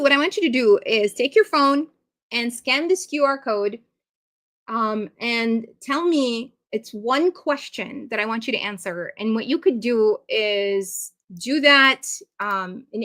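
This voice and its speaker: A fairly high-voiced woman from Iraq gives a live webinar for parents over a computer mic. She talks in a conversational, encouraging way, pausing often on ums and uhs. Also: lively intonation, fairly bright tone. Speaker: webinar presenter.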